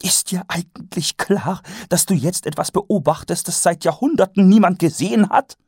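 A man voicing an excited noblewoman who is enraptured by dragons, in a raised, airy voice set back from the mic. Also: fairly high pitch, a little slow, creaky, husky, dry recording, studio quality, pitched above the performer's own voice, full character voice. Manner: excited, gushing, rapt. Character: noblewoman, eager dragon enthusiast, breathless.

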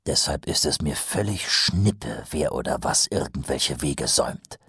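A man doing a gruff, muttering captain. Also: animated, measured pace, a bit bright, no room sound, clean studio sound, full character voice. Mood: brooding. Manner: low, muttering, cool. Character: gruff, weary male watch captain.

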